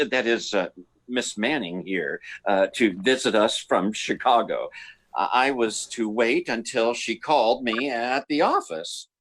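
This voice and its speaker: A man playing a nervous husband in an old-time radio mystery, halting and theatrical. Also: fairly low pitch, a bit bright.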